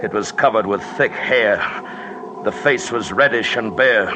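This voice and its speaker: An actor playing a haunted, determined expedition survivor in an old radio suspense drama, speaking in short, tense phrases with dramatic pauses. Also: male voice, on the low side, lightly acted. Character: male mountain expedition survivor, haunted, stubbornly determined narrator. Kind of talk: old-time radio drama, first-person suspense narration. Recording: noisy old radio recording.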